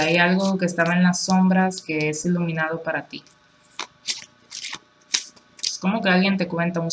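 A woman with a fairly low voice gives a relaxed, chatty tarot reading at a table. She talks in a reassuring way and leans on filler phrases. A faint room hum sits behind her. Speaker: tarot reader. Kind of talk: casual tarot reading to camera. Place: indoors at a table with cards on a cloth.

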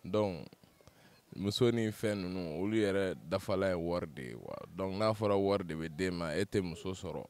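Deep, smooth-voiced Malian man. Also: moderate pace, a little mellow. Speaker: passer-by. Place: street outdoors. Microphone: handheld held by interviewer.

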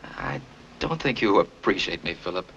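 A man's voice: low-pitched, lively intonation, normal speed, gravelly. An actor playing a smug, controlling young man in a 1940s film. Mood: cool. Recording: dull old film soundtrack, faint hiss.

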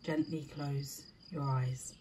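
Woman, guided meditation, mellow soft-edged tone, very unhurried, soothing, short phrases, faint background ambience. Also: very deep voice, fairly even intonation, a little hiss or hum.

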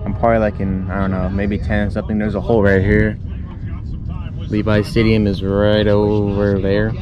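A man's voice: lowish voice, moderate pace, muffled recording, a crowd in the background. A young man casually narrating his fishing trip to viewers from a small boat on open water, filmed on a mounted action camera. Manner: excited, chatty, talks to viewers. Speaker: fishing vlogger. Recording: loud wind and water noise. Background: wind and boat noise.

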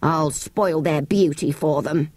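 A woman's voice: low-pitched, slow pace, darkish tone, dry recording. A woman voicing a stern, indignant nursemaid who scolds emphatically in a storybook reading. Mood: angry scolding. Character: scolding, scornful English nursemaid, sharp and indignant.

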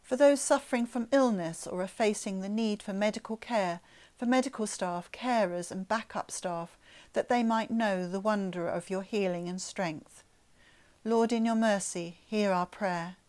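A middle-aged woman with a British accent leading an online church service in a measured way. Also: mid-range voice, darkish tone. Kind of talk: leading prayers, reading intercessions. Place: living room at home.

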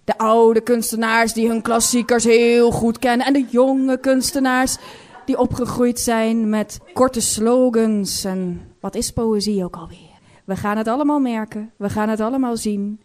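A woman's voice: on the high side, fairly even intonation, a little slow, darkish tone. A woman presenting live as host of a cultural salon.

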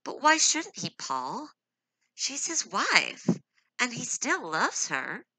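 Storybook: a wounded young wife standing her ground, read by a woman; strained, high, very bright and dry. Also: wildly swooping pitch, takes it slowly, breathy edge, no room sound, heavily acted, low-quality recording. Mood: hurt, defiant. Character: hurt, defiant young wife, fighting back tears.

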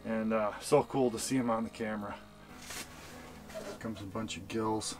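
A man vlogging his ice fishing trip in a shack on a frozen lake, excited and chatty, talking to the fish he sees on camera. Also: lowish voice, moderate pace, over music. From Wisconsin. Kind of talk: casual outdoor fishing commentary.

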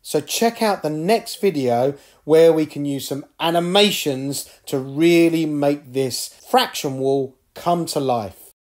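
A man's voice: a little high, slow, a little mellow, no echo. A middle-aged man talking through a tablet app tutorial step by step, explaining each tap like a teacher. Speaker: education technology trainer. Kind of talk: screen-recorded tutorial walkthrough.

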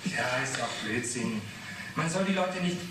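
An actor as testy witness in echoing room. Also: male voice, medium pitch, animated, measured pace, lightly acted. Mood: defensive, earnest. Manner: evasive, explanatory, testy. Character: defensive, irritable male club member under questioning. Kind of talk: 1980s German radio play acting. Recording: very echoey, noisy, distant radio drama.

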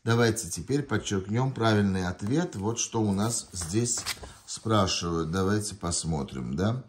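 A man's voice: on the low side, expressive, unhurried, a little hiss or hum. A man tutoring from a printed test sheet, thinking aloud with hesitations and self-corrections. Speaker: language tutor. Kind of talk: explaining test answers while reading a printed sheet. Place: indoors at a desk. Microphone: phone camera pointed down at a sheet held in hand.

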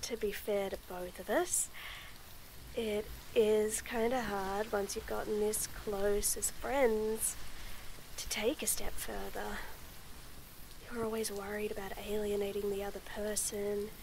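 A woman voicing a shy young tomboy friend, speaking softly and stammering through a nervous confession in a scripted audio roleplay. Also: mid-range voice, flat delivery, gravelly, slight background noise, poor audio. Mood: reflective. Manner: stammering, halting, soft. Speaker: voice actress.

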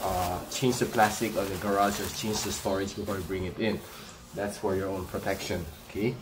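A man in his thirties with a Filipino accent gives a casual, halting how-to demonstration as a vlogger, recorded on a phone outdoors. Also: sits low, steady tone. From Philippines. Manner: halting, explanatory. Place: outdoor paved yard or garage at home. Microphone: handheld phone camera. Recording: clear ambient noise on phone video.

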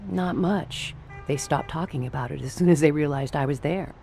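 An actress playing a lovestruck, trusting nightclub torch singer, coy and theatrical, in the manner of old-time radio noir. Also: female voice, sits low, normal speed, creaky, mellow, soft background music. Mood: earnest, uneasy.

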